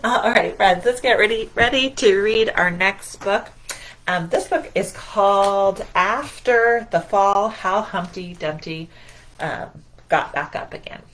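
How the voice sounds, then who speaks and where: A woman's voice: medium pitch, slow pace, a bit bright, a little hiss or hum. A woman hosting a children's read-aloud and singalong, encouraging and asking her young listeners questions. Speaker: library storytime host.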